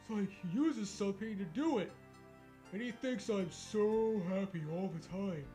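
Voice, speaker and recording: A man's voice: high voice, unhurried, warm tone, faint music behind. A man co-hosting a comic read-aloud of fan fiction, breaking into sarcastic, sweary casual banter. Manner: sarcastic, profane riffing.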